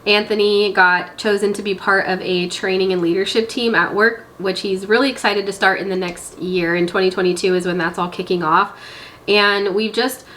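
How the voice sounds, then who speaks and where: A woman's voice: medium pitch, fairly even intonation, moderate pace, fairly bright tone, a little hiss or hum, low-quality recording. Congested, nasal woman chatting casually. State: congested with a head cold. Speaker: vlog host. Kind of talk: casual chatty vlog to camera.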